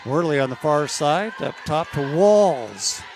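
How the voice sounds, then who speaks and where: A man calling a women's college basketball game play-by-play, losing his voice and clearing his throat now and then. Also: on the high side, slight background noise. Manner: steady, measured calls that rise on big plays. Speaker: play-by-play announcer.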